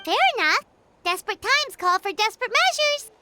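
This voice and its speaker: A woman's voice: very high-pitched, lively intonation, moderate pace, music in the background. An actress voicing a small chirpy female fairy companion who refers to herself in the third person, in a video game. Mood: easygoing. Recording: game audio with loud background noise.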